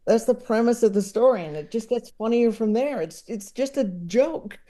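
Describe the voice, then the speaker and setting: A woman chatting casually as a podcast guest, enthusiastic and digressive, often halting as she works out her thought. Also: fairly high pitch, lively intonation, warm tone. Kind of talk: casual chat about books and comedy.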